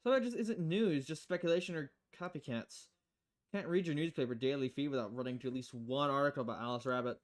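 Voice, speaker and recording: A man's voice: medium pitch, quick pace, natural voice. A man voicing a level-headed, wry bartender in a visual novel, speaking in a restrained, polite way. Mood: dry, calm.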